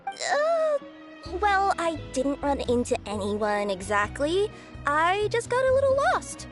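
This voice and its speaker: A young two-tailed cat spirit working as a courier, bubbly and easily flustered. She talks high and bright with a sheepish, apologetic lilt. Faint game music runs behind her. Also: wildly swooping pitch, slow pace, exaggerated voice. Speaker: delivery courier character. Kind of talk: video game voice acting.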